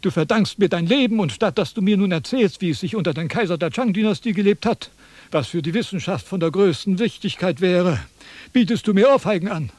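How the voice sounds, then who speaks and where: An actor in a radio play playing a pompous, quarrelsome Chinese philosopher who lectures and declaims theatrically. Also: male voice, high voice, lively intonation, fairly quick, darkish tone, dry recording. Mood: indignant. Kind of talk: German radio play acting.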